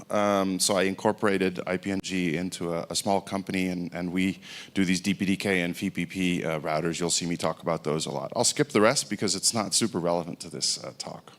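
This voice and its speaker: Middle-aged Dutch man, American-sounding accent, lowish voice, loose self-deprecating conference presenter. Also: fairly bright tone. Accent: American-sounding English. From Netherlands. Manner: informal, self-deprecating, many fillers and restarts. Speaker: conference speaker. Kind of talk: technical conference talk. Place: conference stage.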